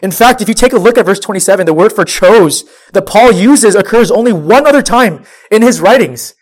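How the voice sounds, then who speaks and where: High-voiced young man preaching. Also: lively intonation, a little fast. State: sniffling with a cold. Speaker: youth pastor. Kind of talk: sermon to a high school group.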